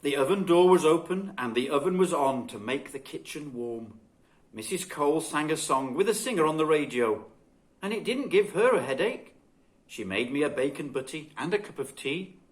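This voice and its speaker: A man reading a children's story expressively and dramatically, with deliberate phrasing. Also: fairly high pitch, lively intonation. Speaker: guest storybook reader.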